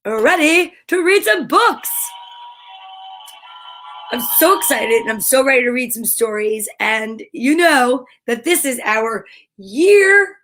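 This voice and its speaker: A woman with a fairly high voice hosts a story time for children. She is upbeat and encouraging, moving between reading aloud and chatty asides to her viewers. Her manner is gushing and animated. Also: poor audio.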